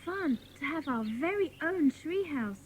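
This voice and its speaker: A woman playing an eager, soft-hearted young schoolgirl in a dramatised children's audiobook, with a girlish, expressive delivery. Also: sits high, a little slow, slight background noise. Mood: cheerful. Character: chatty, excitable, soft-hearted young schoolgirl of the Secret Seven.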